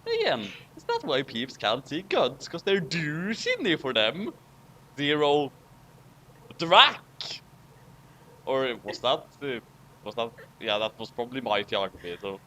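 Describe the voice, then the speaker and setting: A man's voice: medium pitch, wildly swooping pitch, a little slow, bright, forceful tone. A man co-hosting a dramatic fanfic reading, theatrical and over-acted, with casual chat in between.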